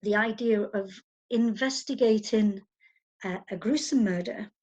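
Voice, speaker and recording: A woman's voice: medium pitch, unhurried, darkish tone, muffled recording. A woman hosting an author interview, asking long rambling questions full of "you know" and restarts. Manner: rambling, with many fillers and backchannels. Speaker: interviewer host. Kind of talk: conversational interview.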